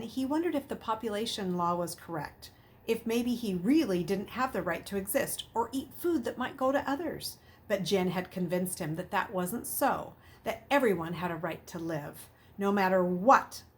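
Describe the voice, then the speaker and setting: A woman's voice: mid-range voice, a little hiss or hum. A woman reading a children's novel aloud as a storyteller, with dramatic, emphatic delivery.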